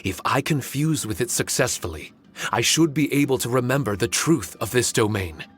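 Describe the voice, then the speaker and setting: An actor voicing an earnest, dignified shikigami spirit in a video game, speaking in a formal, measured storytelling way. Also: male voice, medium pitch, lively intonation, close to an everyday voice. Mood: earnest, respectful. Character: earnest, dignified male shikigami spirit recounting ancient history. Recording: background music under the voice.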